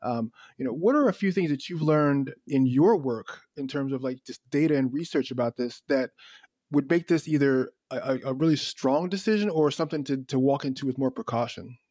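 Radio host, male, mid-pitched, easy conversational questioning, close dry studio sound. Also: lively intonation, fairly quick, darkish tone, no echo. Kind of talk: radio interview.

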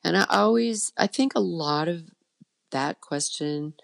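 A middle-aged woman with a soft voice, a children's musician chatting casually in an interview and often trailing off and restarting. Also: fairly low pitch, a little slow, vocal fry.

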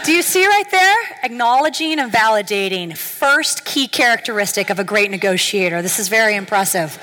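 A woman's voice: medium pitch, lively intonation, brisk, bright, forceful tone, some chatter behind. Upbeat woman interviewer in a hall. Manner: upbeat, playful questioning. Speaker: interviewer, host. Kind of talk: onstage interview. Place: event stage in a large hall. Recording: reverberant hall.

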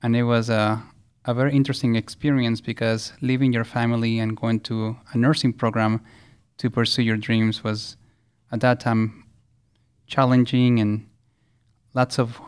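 A man from Chile in his thirties, telling his career story in a measured, earnest way as a podcast guest. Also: mid-range voice, smooth. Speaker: guest, nursing regulator. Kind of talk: podcast interview.

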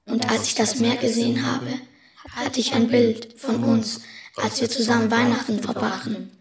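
A woman's voice: a little high, flat delivery, moderate pace, recorded from a distance, a loud crowd. Echoey, level-voiced woman reading. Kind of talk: scripted letter-like narration.

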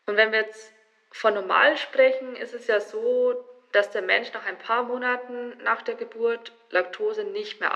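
A woman's voice: mid-range voice, bright, forceful tone. A woman giving explanatory interview answers as an expert, in a conversational way. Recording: dull, lo-fi interview sound.

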